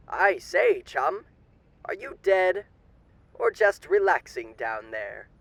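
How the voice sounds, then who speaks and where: A man's voice: high voice, gravelly, bright, forceful tone, slight background noise, exaggerated voice. A man playing a suave demon overlord with theatrical, old-fashioned genteel diction in an audio roleplay. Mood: jovial, teasing. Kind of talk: ASMR character roleplay.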